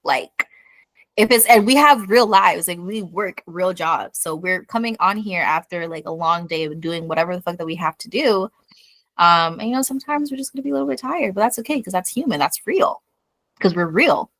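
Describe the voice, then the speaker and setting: A woman's voice: fairly low pitch, lively intonation, normal speed, a bit bright, no echo. A young woman co-hosting a podcast in casual chat, laughing easily and swearing casually. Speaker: podcast co-host.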